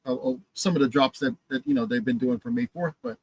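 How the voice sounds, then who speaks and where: A young man co-hosting a podcast in casual chat, enthusiastic and halting, with many fillers while reading news items. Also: mid-range voice, a little mellow, slightly rough, no room sound. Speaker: podcast co-host.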